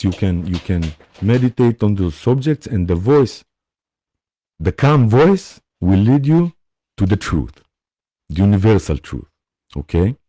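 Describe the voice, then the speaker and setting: A man from Israel with an Israeli accent, hosting a live spiritual session. He speaks in a halting, gentle way and often pauses to check in with his listeners. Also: on the low side, no echo, clean studio sound. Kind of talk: live-streamed spiritual talk.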